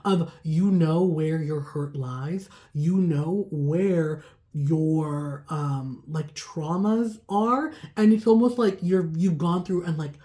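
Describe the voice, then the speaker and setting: A young woman doing a casual, chatty tarot reading, hoarse and raspy from a cold, rambling with lots of fillers. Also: low voice, takes it slowly, warm tone. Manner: chatty, rambling, heavy use of "like". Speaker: tarot reader.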